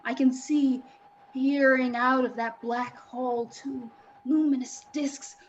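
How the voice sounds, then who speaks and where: A woman playing an excitable radio reporter, an awed and alarmed eyewitness, in a live reading of a 1930s radio drama, with dramatic, suspenseful pauses. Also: sits high, highs cut off, slight background noise. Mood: horrified awe.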